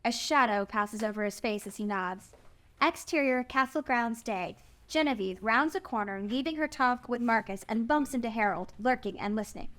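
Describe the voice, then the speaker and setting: A woman's voice: fairly high pitch, normal speed, a bit bright. A woman narrator reading out the stage directions and scene headings of a screenplay.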